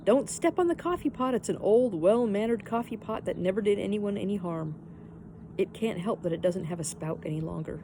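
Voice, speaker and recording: A woman voicing a bold, carefree, mischievous young girl with loud, playful declarations in a storybook reading. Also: mid-range voice, animated, a little fast, a little hiss or hum, close to an everyday voice. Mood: teasing, cheerful.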